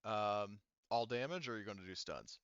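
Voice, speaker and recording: A man's voice: on the low side, brisk, a bit bright, clean tone, no room sound, lo-fi sound. A man speaking as game master, narrating and explaining rules in an informal, joking way. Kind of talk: casual tabletop roleplaying game narration.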